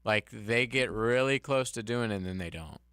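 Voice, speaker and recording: A man co-hosting a casual radio talk show, candid and quick to laugh. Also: fairly low pitch, a bit bright, clear, resonant tone, no echo, studio quality. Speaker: radio co-host. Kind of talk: casual sports radio chat. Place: radio studio. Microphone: studio microphone.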